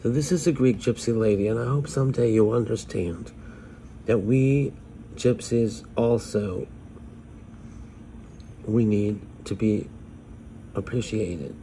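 Weary street fortune-teller woman, a man in character, dark gravelly voice, plaintive phone-camera monologue, faint room hiss. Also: lowish voice, slow, long pauses, rough recording. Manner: pleading, plaintive. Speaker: vlogger in character. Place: small room indoors. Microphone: phone at arm's length.

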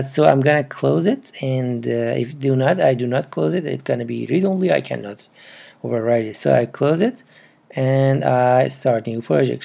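A man with a non-native English accent narrates a casual step-by-step tutorial, with halting, broken phrasing. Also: mid-range voice, vocal fry, mellow. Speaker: programming tutorial presenter. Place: indoors at a computer.

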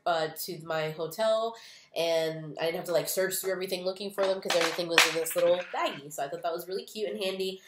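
Stuffy-nosed young woman, echoey room. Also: fairly low pitch. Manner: chatty, rambling, many "um" and "like" fillers. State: sick with a stuffy, nasal voice. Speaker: beauty vlogger. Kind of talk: casual unboxing chat. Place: small room indoors.

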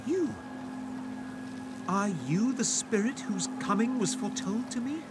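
A man's voice: high voice, expressive, a little slow, loud music behind the voice. An actor playing a timid, reluctant old miserly businessman in an audio drama, hesitant and querulous. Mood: wary.

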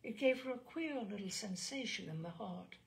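A woman reading literary fiction aloud as a storyteller, in a measured, expressive audiobook style. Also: medium pitch, creaky.

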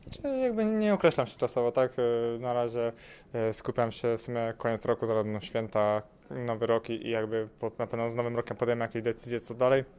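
A man's voice: mid-range voice, brisk, darkish tone, clear, resonant tone. A young man, a boxer, answering interview questions into a handheld mic at an indoor event, hesitant with many fillers and repeated words. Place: event press wall, indoors. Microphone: handheld mic held by interviewer.

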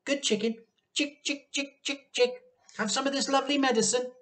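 Man acting a little boy, storybook, coaxing, hesitant, lifted pitch. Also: fairly even intonation, normal speed, a bit bright.

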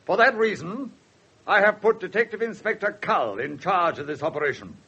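An actor with an English accent playing a pompous, courteous, middle-aged police superintendent in a radio drama, formal and somewhat awkward. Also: male voice, high voice, expressive, moderate pace, sharp tone, breathy edge, heavily acted. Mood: formal, urgent. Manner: formal, hesitant when uneasy. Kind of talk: 1970s radio drama acting. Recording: slightly muffled 1970s radio broadcast.

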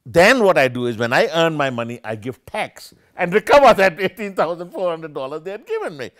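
Animated older man lecturing. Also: fairly high pitch, a little fast, no echo, studio quality. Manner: animated, gesturing, discursive with self-corrections. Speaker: keynote speaker, professor. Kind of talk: academic lecture. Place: small room with armchairs, indoors.

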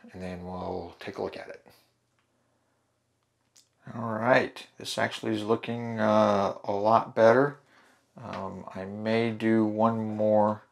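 A man hosting a hands-on darkroom printing tutorial, talking through each step in a relaxed way with fillers and restarts. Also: lowish voice, vocal fry. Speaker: photography channel host. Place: home darkroom in a laundry room.

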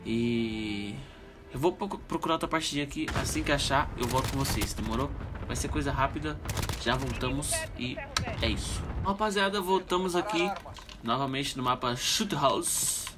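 A man's voice: mid-range voice, moderate pace, music in the background. A young Brazilian man with a stuffy, nasal voice from a cold, chatting casually to viewers while commenting on his gameplay. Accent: Brazilian Portuguese. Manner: relaxed slangy chatter, talks to viewers. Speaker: gaming channel host. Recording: loud game gunfire over the voice.

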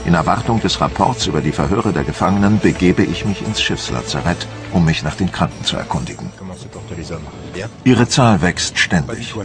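Deep-voiced, wary French admiral. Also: male voice. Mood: resolute. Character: wary French admiral of the Napoleonic wars, brooding, proud. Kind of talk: first-person dramatised documentary narration.

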